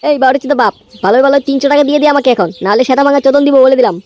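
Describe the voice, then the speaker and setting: A cheeky young cartoon guy, acted by a woman rattles along at breakneck speed in a high, whiny voice. He is crude and excitable, a lovestruck troublemaker. The homemade audio has clear background noise. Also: very fast, natural voice. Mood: indignant, cursing. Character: cheeky foul-mouthed lovestruck young man, quarrelsome son. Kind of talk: amateur comedy cartoon dubbing.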